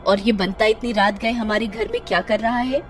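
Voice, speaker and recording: A woman's voice: mid-range voice, steady tone, normal speed, faint music behind, close to an everyday voice. A woman voicing a wary, unyielding village housewife in a horror cartoon, alarmed and firm. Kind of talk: Hindi horror cartoon character voice.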